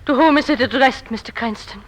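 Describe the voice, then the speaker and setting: A woman's voice: high voice, brisk. An actress playing an anxious, earnest lady in a dramatic 1940s radio melodrama. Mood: tense. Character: anxious, earnest young lady of a troubled household. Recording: noisy old radio recording.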